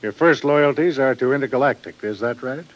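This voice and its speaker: An actor playing a cold, menacing government interrogator, formal and measured, in a 1950s radio drama. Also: male voice, mid-range voice, lightly acted. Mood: stern. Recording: dull, band-limited old radio recording.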